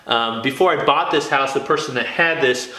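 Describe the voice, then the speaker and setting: A man's voice: mid-range voice, normal speed, pushed and bright. A young man hosting a fitness video in his home garage gym, talking casually to the camera and adding "okay?" after his points. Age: young adult. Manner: emphatic, keeps adding "okay?". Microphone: camera mic, moving around the room. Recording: echoey garage room.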